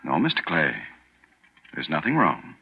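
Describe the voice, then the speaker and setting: An actor playing a cold, sinister doctor in a 1940s radio thriller, with calm, menacing delivery. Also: male voice, rumbling low, unhurried, husky, exaggerated voice. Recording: dull old radio broadcast.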